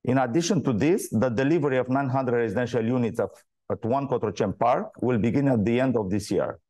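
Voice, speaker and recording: Mellow-voiced man presenting company results. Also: mid-range voice, no room sound. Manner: measured, formal, reading from prepared text. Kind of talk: scripted earnings call presentation.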